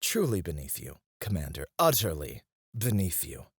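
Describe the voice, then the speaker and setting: A man's voice: low-pitched, sing-song, normal speed, husky, no room sound, studio quality, heavily acted. A man playing a cocky, disdainful royal alchemist in a scripted fantasy audio roleplay, speaking in a formal, haughty, theatrical way. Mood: exasperated. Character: cocky, disdainful male royal alchemist, sharp-witted and proud. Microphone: close, dry studio mic.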